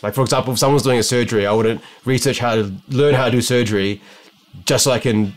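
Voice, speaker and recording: A man's voice: medium pitch, steady tone, fast. A young man with a New Zealand accent, an optometrist talking to the camera from his living room in a casual vlog, musing and rambling with many restarts. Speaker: optometrist vlogger. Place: home living room, indoors.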